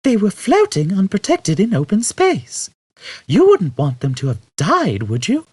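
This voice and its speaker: A man voicing a patient, firm woman aboard a spaceship in an audiobook, bright and compassionate in manner. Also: high-pitched, wildly swooping pitch, a little slow, breathy edge, dry recording, voice pushed up, full character voice. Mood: reproachful.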